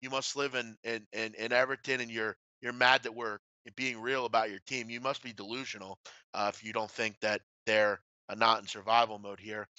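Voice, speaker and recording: A man hosting a casual sports betting discussion, speaking bluntly with some swearing and halting asides. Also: fairly low pitch, little pitch movement, pushed and bright, clean tone, no echo. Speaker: sports betting show host. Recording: slightly dull, dry lo-fi audio.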